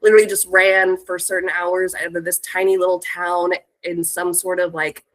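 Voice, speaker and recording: American woman in her 30s, kinda low voice, stuffed up w a cold, chatting casually to introduce herself. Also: flat delivery, normal speed, rough recording. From Northern California, United States. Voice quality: congested, stuffy nose. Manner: chatty, informal. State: sick with a cold. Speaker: guest speaker, music industry professional. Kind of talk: informal career talk.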